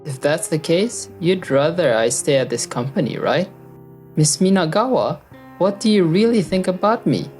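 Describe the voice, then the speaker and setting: A woman's voice: animated, normal speed, darkish tone, lightly acted. A woman playing an earnest, loyal young office worker and narrator in a manga dub, speaking in a conversational way. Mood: baffled, hurt. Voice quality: low-pitched voice. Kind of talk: anime-style manga dub with first-person narration. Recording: background music under the voice. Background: music.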